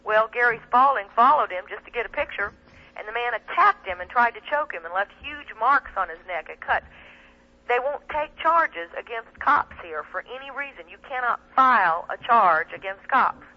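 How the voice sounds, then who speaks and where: A woman's voice: fairly high pitch, expressive, normal speed, muffled recording. A woman speaking emphatically and indignantly as a guest in an impassioned talk radio interview. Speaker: guest, attorney and activist. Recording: band-limited 1990s shortwave radio, telephone sound.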